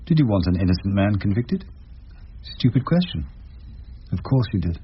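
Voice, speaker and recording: An actor playing a shrewd, wry older English barrister in a radio drama, speaking deliberately and rhetorically. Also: male voice, on the low side, big pitch swings, breathy edge, exaggerated voice. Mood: accusing, stern. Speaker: lead character and narrator. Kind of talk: BBC radio drama acting. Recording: dull radio recording with hiss.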